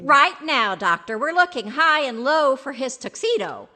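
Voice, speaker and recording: A woman playing a patient, gently dry old wife who is the straight woman in an old-time radio comedy. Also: sits high, very animated, normal speed, very noisy.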